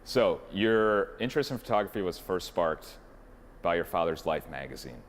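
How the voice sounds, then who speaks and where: A man who reports and interviews, reading a scripted intro and asking measured questions. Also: low voice, expressive.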